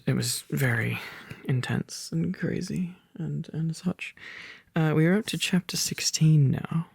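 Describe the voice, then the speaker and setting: A man's voice: medium pitch, gravelly, a little mellow, husky, dry recording. A young man speaking in a casual, playful and teasing boyfriend-roleplay ASMR style, rambling as he chats to the listener. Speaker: ASMR roleplay performer, reader.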